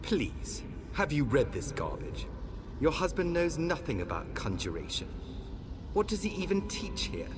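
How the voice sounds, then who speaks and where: A sneering young elf mage. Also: male voice, a little high, wildly swooping pitch, unhurried, noisy background. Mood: contemptuous. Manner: sneering, contemptuous, condescending. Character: arrogant young male elf mage student. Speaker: video game character. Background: loud game music.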